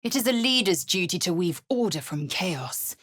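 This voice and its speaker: A woman's voice: on the low side, animated, normal speed, fairly bright tone. An actress voicing a proud, stern githyanki warrior woman from a video game, talking in a clipped, commanding, formal and scornful way. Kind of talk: video game character dialogue.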